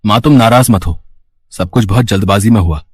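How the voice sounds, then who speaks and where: A man voicing an earnest young married son with a husky voice, placating and persuasive, in a cartoon moral story. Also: lowish voice, fairly quick, darkish tone, dry recording. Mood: apologetic.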